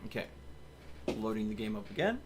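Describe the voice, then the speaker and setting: A man's voice: fairly low pitch, big pitch swings, fairly quick, background noise. A man hosting a casual game livestream, chatting and thinking aloud in a rambling way. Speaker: livestream host. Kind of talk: casual chat while live streaming a video game.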